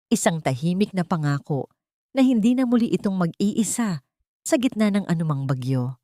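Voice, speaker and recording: Low-voiced Filipino woman narrating, dry sound. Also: mellow, dry recording. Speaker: storyteller, narrator. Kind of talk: scripted dramatic story narration.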